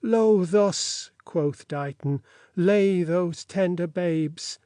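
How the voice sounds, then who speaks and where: Guy narrating an audiobook, voice a bit on the high side, formal n dramatic reading, very dry recording. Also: extremely slow, warm tone, smooth, dry recording.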